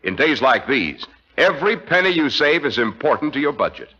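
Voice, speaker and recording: A man works as a vintage radio announcer, reading in a polished, emphatic manner. His voice is bright and forceful with lively swings of pitch. The recording sounds dull and old. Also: a little fast. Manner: polished, emphatic, scripted delivery.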